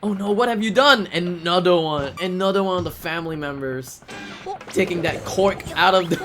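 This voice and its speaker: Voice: mid-range voice, normal speed, a bit bright. A speaker giving casual, off-the-cuff commentary on a video game speedrun. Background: faint video game music.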